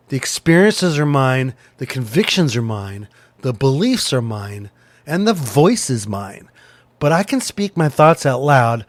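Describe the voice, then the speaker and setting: Guy doing a personal podcast reflection, medium pitch, deliberate short phrases, very dry sound. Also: no echo. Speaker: podcast host and pastor. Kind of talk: personal reflection, spoken to listeners.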